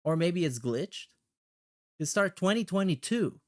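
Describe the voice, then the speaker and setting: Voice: medium pitch, expressive, unhurried, a little mellow. A livestream host chatting casually and reacting in a blunt, heavily profane way.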